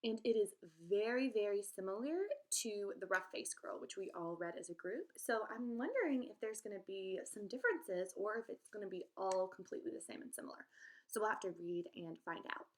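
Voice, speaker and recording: A woman teacher reading a storybook aloud for children, expressive and speaking to them directly. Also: mid-range voice, brisk.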